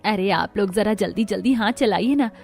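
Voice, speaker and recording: A woman plays a middle-aged mother-in-law in an animated family tale. She is homely, doting and eager, fussing in a medium-pitched voice. Light music runs underneath. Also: moderate pace, vocal fry, husky. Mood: excited, hurried. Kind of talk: Hindi cartoon story voice acting.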